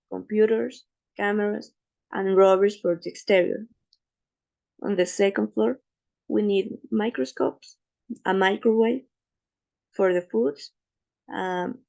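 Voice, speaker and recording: Gravelly-voiced woman presenting slides. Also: medium pitch, warm tone, muffled recording. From Ecuador. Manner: careful, slightly halting non-native English with fillers. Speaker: student presenter.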